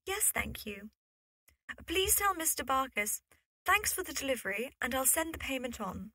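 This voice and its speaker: Teasing young victorian girl woman acting, high bright voice, cheeky, dry close recording. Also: animated, moderate pace, slightly rough, no room sound, full character voice. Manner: teasing, provocative. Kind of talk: period audio drama acting.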